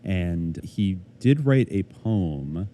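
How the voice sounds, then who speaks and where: A man's voice: sits low, animated, very slow, mellow, a little hiss or hum. A man co-hosting a podcast, chatting casually and rambling with lots of "like" and "I guess". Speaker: podcast co-host. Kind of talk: casual chat.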